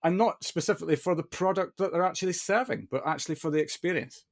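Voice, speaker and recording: Measured middle-aged male podcast host. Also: on the high side. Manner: measured, thinks aloud with restarts and tag questions. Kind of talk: conversational interview.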